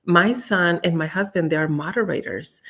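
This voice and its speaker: A woman's voice: fairly low pitch, normal speed, a bit bright. A woman talking candidly as a guest on a live stream, chatty, with many fillers and restarts. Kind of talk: casual chat on a live stream.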